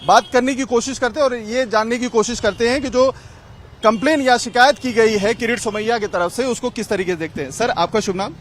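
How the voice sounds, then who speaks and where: A man reports from the street in an Indian accent, his voice pitched high. He interviews passers-by in a news style, posing questions at length. Faint city traffic sits behind him. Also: fairly quick. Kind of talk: street vox pop interviewing. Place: outdoors on a city street near a mosque.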